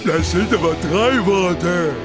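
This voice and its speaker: A huge, greedy, dim cartoon demon. He talks slowly and boorishly in a high, animated voice, over loud music. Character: big gluttonous demon monster, blunt and dim. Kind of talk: German animated game dub.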